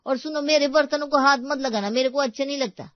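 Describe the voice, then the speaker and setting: A woman's voice: high-pitched, quick pace, no room sound, dull audio, close to an everyday voice. A woman voicing a rude housemaid in a storybook tale, curt, complaining and talking back. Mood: rude, irritated.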